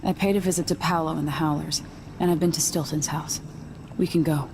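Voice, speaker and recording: An actress playing a vengeful, resolute young empress in a video game, with a controlled, grim, understated delivery. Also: female voice, deep voice, fairly even intonation, fairly quick, background noise. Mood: cold resolve. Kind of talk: video game dramatic acting.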